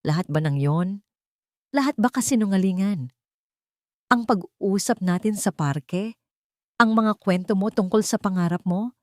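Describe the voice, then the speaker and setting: A woman's voice: medium pitch, unhurried, pauses often, no echo, studio quality, pitched above the performer's own voice, close to an everyday voice. Woman voicing a hurt, betrayed young man. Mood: hurt, accusing. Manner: pained, accusing.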